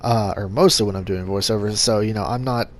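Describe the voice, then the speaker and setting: A young man hosting a gaming channel, talking casually to his subscribers in a monotone with lots of fillers and restarts. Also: fairly low pitch, a little fast. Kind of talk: casual vlog update to subscribers.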